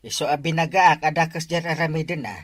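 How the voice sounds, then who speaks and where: A man's voice: on the high side, fast talker, close to an everyday voice. A man with an Ilocano accent playing a wary drinking buddy who frets over money in a comedy drama.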